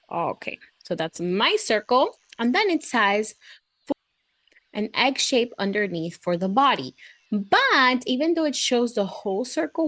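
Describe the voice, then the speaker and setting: A woman's voice: medium pitch, no echo. A woman hosting a read-aloud and drawing lesson for children, chatty, gushing and encouraging, often breaking into exclamations.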